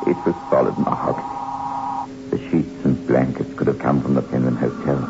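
A man's voice: extremely low pitch, little pitch movement, a little fast, over loud music. A man playing a haunted, distraught castaway recounting his ordeal in an old radio horror play, intense and theatrical. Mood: weary, haunted. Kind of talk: 1960s radio horror acting and narration. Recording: muffled old radio with faint noise.

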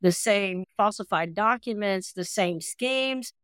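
A woman's voice: mid-range voice, takes it slowly, smooth, dry recording, studio quality. A woman in her sixties telling personal stories as an interview guest, earnest and talkative. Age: 60s. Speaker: interview guest, adoptee advocate. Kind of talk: conversational personal storytelling.

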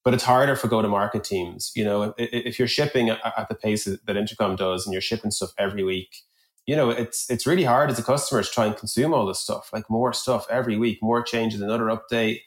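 A man's voice: fairly low pitch, fast talker. A man in a conversational podcast discussion, thinking aloud at length and working through examples. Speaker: podcast guest, product executive. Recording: roomy echo on the voice.

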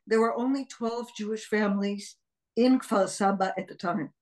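A woman in her sixties, born in Israel, speaking as a guest psychologist and telling her story in a reflective interview, measured and full of pauses. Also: mid-range voice, a little mellow. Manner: slow, measured, with frequent pauses and fillers.

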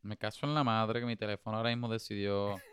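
Very clear-voiced podcast host. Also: male voice, fairly low pitch, level tone, fast talker, fairly bright tone, no echo, studio quality. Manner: playful, exclamatory, laughs often. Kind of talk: casual podcast chat mixing Spanish and English.

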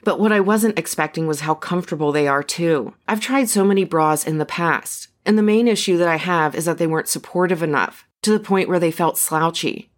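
A woman reading a podcast advert as a personal testimonial. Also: deep voice. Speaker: ad reader.

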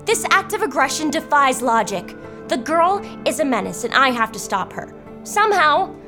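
Shrill bossy schoolgirl. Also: very animated, moderate pace, music in the background. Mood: indignant, frantic. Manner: demanding, haughty, exclamatory. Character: bossy, indignant, melodramatic schoolgirl. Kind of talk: audio drama acting.

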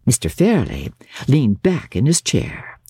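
A woman audiobook narrator with a dark, husky voice reads classic literature dramatically. Her delivery is formal and measured yet moves widely in pitch, following each sentence with expression. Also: huge pitch swings, dry recording, clean studio sound. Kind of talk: dramatic scripted narration of a classic diary novel.

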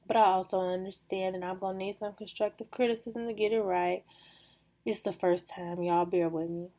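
A woman hosting a faith podcast, talking in a calm, even, monotone way with casual fillers. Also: medium pitch, moderate pace. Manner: calm, self-described monotone delivery; casual, with frequent fillers like "you know" and "like". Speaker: podcast host.

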